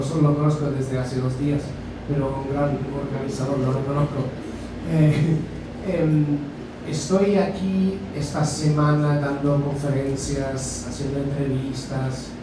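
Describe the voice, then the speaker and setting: British man lecturing, echoey hall. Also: on the high side. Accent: British speaking Spanish with Argentine touches. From Britain. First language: English. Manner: halting, with frequent fillers and restarts. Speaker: guest speaker, journalist and author. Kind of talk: lecture to a university audience. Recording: echoey lecture hall from far off, background noise.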